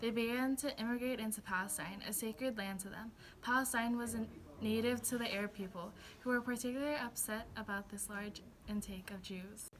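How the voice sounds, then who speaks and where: A woman's voice: on the high side, brisk, few pauses, slight background noise, natural voice, low-quality recording. A woman playing a blunt, opinionated news anchor in a scripted mock newscast. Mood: formal, newsy.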